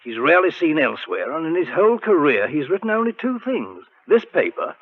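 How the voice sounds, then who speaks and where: An actor playing an anxious, irritable society secretary, agitated and emphatic, in a 1940s radio drama. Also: male voice, on the high side, sing-song, brisk. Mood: uneasy, ominous. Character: anxious, irritable British science society secretary, suspicious man. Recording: thin narrow-band 1940s radio recording.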